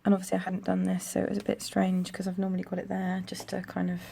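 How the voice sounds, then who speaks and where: Croaky low-ish girl rambling on about her planner, bit gravelly, faint traffic hum behind. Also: completely flat delivery, fast. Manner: chatty, rambling, soft-spoken. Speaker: planner vlogger. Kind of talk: casual chat while decorating a planner. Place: home room near a busy road. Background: traffic noise.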